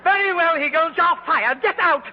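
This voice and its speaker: An actor playing a scheming, bullying department store manager with a pompous, sharp temper in a 1940s radio comedy, on a muffled vintage recording. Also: male voice, extremely high pitch, normal speed. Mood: outraged.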